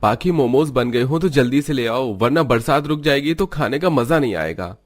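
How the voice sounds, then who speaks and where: A man's voice: mid-range voice, lively intonation, moderate pace, lightly acted. A man voicing a food-loving, self-centred Indian brother-in-law in a cartoon, casually bossy. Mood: craving, impatient.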